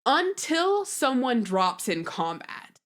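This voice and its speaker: A woman in a casual tabletop game discussion, explaining things with animated little acted asides. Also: fairly high pitch, slow. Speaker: podcast guest.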